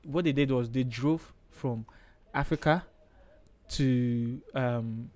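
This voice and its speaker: A man's voice: medium pitch, takes it slowly, darkish tone. A man from Ghana with a Ghanaian accent, hosting a casual live design stream and chatting as he works, often checking in with "okay". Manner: chatty, thinks aloud, frequent okays. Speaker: livestream host, designer.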